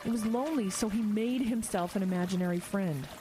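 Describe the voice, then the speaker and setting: A woman acting a frightened, compassionate investigator in an audio horror drama, earnest and halting under strain. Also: mid-range voice, music in the background, natural voice. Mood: urgent explaining.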